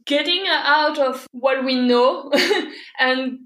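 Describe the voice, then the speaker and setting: A woman's voice: high-pitched, slow, fairly bright tone. A woman from Europe, a podcast guest telling her personal story in an open way, laughing often between fillers and restarts. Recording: echoey room.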